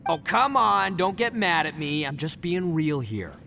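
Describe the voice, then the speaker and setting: A man's voice: a little high, big pitch swings, measured pace, creaky, bright, forceful tone, faint music behind, exaggerated voice. A man playing a boisterous, good-hearted young oni gang boss in a video game, brash, casual and frank. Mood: defensive, frank.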